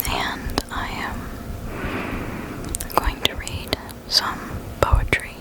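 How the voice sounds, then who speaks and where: Casting: soft ASMR reader, breathy whisper throughout, unhurried and soothing delivery, close on the mic. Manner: slow, gentle, soothing. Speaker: host of an ASMR reading channel. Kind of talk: whispered ASMR, poetry reading. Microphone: close desktop condenser microphone.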